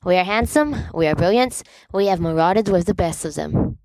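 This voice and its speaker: A woman's voice: on the low side, animated, normal speed, a little mellow, dry recording, low-quality recording. A woman voicing a theatrical, pompous teenage boy prankster in a storybook reading, declaiming melodramatically. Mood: grandiose.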